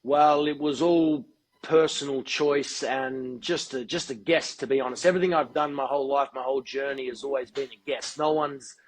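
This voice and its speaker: A man being interviewed as a guest, speaking in a measured, articulate and deliberate way. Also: mid-range voice, fairly even intonation, poor audio. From Australia. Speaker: guest, football TV presenter. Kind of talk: podcast interview.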